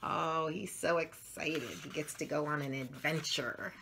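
Middle-aged woman, children's read-aloud, expressive emphasis, unhurried pace, bright tone. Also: low-pitched, creaky, a little hiss or hum, low-quality recording. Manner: expressive, drawn-out emphasis for young listeners. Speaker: children's story channel host reading picture books aloud. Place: small furnished room at home, indoors.

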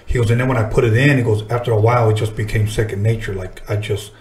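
A man's voice: lowish voice, fairly even intonation, quick pace, darkish tone. A middle-aged man hosting a casual interview, speaking informally with slang. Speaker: podcast host, interviewer. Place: small podcast studio, indoors. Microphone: tabletop podcast microphone. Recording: roomy studio echo.

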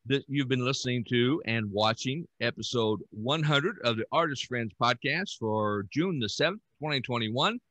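A man's voice: medium pitch, lively intonation, moderate pace, few pauses, fairly bright tone, no room sound. An older man hosting a podcast in casual, rambling talk with chuckles, speaking over a headset on a video call. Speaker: podcast host. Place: room at home.

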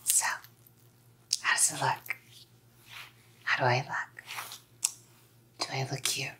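A woman's voice: rumbling low, little pitch movement, exaggerated voice. Woman acting a whispering, sweetly menacing psycho girlfriend. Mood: sweetly excited. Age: young adult. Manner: cooing, slow, softly threatening. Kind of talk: whispered ASMR roleplay. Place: small dim room indoors. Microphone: close ASMR mic.

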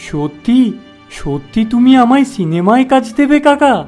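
A man's voice: very high-pitched, big pitch swings, moderate pace, a little mellow. A man playing a gullible, starry-eyed young village shopkeeper in a cartoon, naive, eager and trusting. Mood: eager, grateful. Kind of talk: cartoon moral-story dialogue. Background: background music.

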